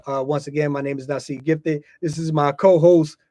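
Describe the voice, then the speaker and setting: A man's voice: fairly high pitch, steady tone, moderate pace, dark tone, no echo, clean studio sound. An American man talking casually as a podcast host, informal and repetitive, often tagging his phrases with "you know". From United States. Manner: informal, frequently repeats himself and tags phrases with "you know".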